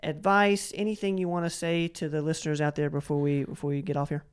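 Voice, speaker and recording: A man's voice: a little high, flat delivery, fast talker, clear, resonant tone. Guy hosting a casual interview show, kinda rambly, repeats words, really into it. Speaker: podcast host and interviewer.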